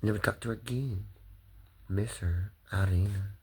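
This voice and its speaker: A man's voice: lowish voice, steady tone, normal speed, husky. A man talking to the camera in a rambling, swearing monologue that jumps between topics.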